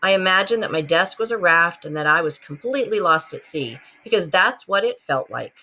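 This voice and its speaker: Animated woman reading a storybook over music. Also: fairly low pitch, a little fast. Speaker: librarian reading a picture book aloud to students. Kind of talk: expressive children's storybook narration. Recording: loud music behind the voice. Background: musical soundscape.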